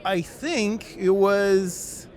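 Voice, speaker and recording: Young guy w/ pretty high voice, laughy casual chat, lots of uh and i dont know. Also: very slow, a little mellow. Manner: laughs often, hesitant fillers, quotes himself. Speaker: game dev YouTuber, guest. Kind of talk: casual conversation.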